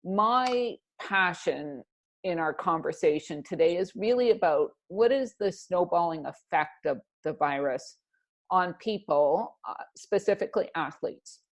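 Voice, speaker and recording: A middle-aged woman speaks as an interview guest in a reflective, conversational way. Her voice sits a little low. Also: lively intonation, a little slow. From Canada. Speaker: interview guest, executive coach.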